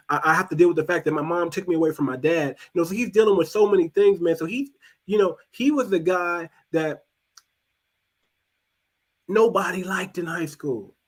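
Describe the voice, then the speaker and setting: A fairly high-voiced man talks through his opinions in a loose, casual way. He restarts phrases often and lets his voice sink quiet when he drives a point home. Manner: loose and conversational, repeats and restarts words, drops pitch and volume for emphasis. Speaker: podcast host.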